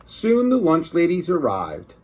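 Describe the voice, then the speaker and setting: A man's voice: a little high, wildly swooping pitch, a little slow, a little mellow, husky. A man reading a children's book aloud as a teacher, expressively emphasizing words for young listeners.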